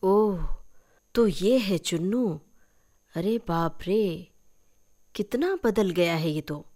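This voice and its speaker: A woman voicing a curious, mocking young woman in a story, expressive and wry. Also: fairly low pitch, slow. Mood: amused scorn.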